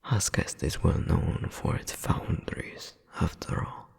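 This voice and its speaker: A man's voice: takes it slowly, a little mellow, natural voice. A man playing a cold, domineering demon nobleman scolding as an older brother, with haughty, formal menace in an ASMR audio roleplay. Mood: cold contempt. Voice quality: breathy, gravelly half-whisper. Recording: long cavernous reverb.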